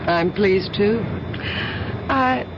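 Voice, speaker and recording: An actress playing a poised, friendly, polite airline passenger in a 1970s radio drama. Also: female voice, mid-range voice, extremely slow. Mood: friendly, charming. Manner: polite, sociable. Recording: muffled, noisy old radio broadcast.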